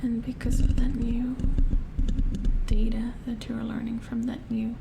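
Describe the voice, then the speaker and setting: A woman playing a calm, amused robot repair engineer in an ASMR roleplay, whispering breathily as she patiently explains in halting, musing phrases. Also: on the high side, vocal fry, very noisy. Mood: musing, explanatory. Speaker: ASMR performer. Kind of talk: soft-spoken ASMR roleplay.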